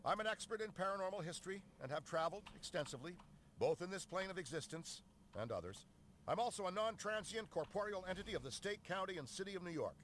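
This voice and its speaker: A man's voice: sits high, animated, fast, a bit bright. A man playing an earnest, self-important paranormal scientist in a video game, wordy and enthusiastic. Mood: proud, eager. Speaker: game character, ghost hunter. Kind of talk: scripted game voice acting. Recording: game dialogue over park ambience noise.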